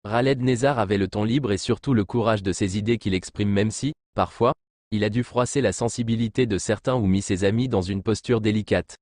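A man with a fairly low voice reads a scripted news commentary. His delivery is formal and literary, clearly read from a written text. The sound is dry, with little room around the voice. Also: fairly quick, darkish tone, dry recording. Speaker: news narrator.

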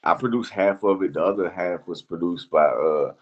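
Very deep-voiced man, casual and halting. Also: breathy edge, lo-fi sound. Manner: halting, slangy, repeats phrases as he talks. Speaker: music producer being interviewed. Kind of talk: casual reflective interview chat.

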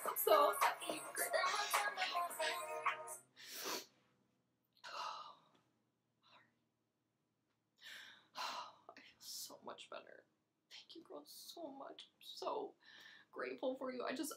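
Woman acting a very high-pitched, melodramatic jilted young woman. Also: very animated, slow pace, pauses often, soft background music, exaggerated voice. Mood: whimpering, self-soothing. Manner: swings between breathy whimpering and loud outbursts. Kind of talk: dramatic monologue performance.

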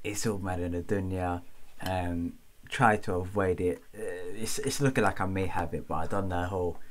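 Young male vlog host, deep voice with a slight cough rasp, casual and chatty, filmed at desk distance. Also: moderate pace, a little hiss or hum. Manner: chatty, keeps checking in with viewers, gestures a lot. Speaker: boxing channel host. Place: small home room with LED lights.

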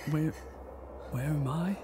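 A woman playing a dazed, confused injured stranger in a horror audio drama, halting and repetitive. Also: sits low, noisy background. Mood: dazed, eerie. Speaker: actor.

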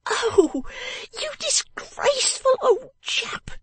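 A woman voicing a sharp-tongued, bossy young girl in a storybook, scolding in a shrill, imperious way. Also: big pitch swings, unhurried, dry recording. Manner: imperious, tart, emphatic scolding.

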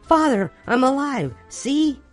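A man voicing an eager, excitable little storybook character, a young puppet boy, in exclamations. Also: extremely high pitch, takes it slowly, faint music behind, voice pushed up, full character voice. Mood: delighted.

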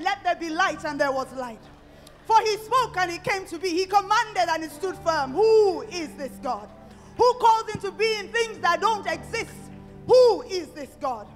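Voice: very high voice, fairly bright tone, big-room sound. A preacher delivering a sermon in a rhythmic style, returning again and again to one refrain.

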